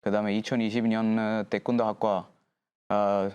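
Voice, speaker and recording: A young man from India, a guest on a TV talk show, speaking slow, searching Korean with restarts. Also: fairly low pitch, flat delivery, darkish tone, smooth, dull audio. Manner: slow, searching non-native speech with restarts. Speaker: guest, taekwondo athlete. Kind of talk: casual chat on a TV talk show.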